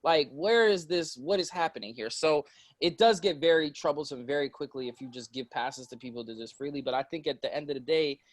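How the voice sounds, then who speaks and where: Animated, emphatic man with slangy talk. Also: fairly high pitch, fairly quick, no echo. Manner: animated, emphatic, slangy, lots of likes and rights. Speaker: panelist. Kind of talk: casual panel discussion.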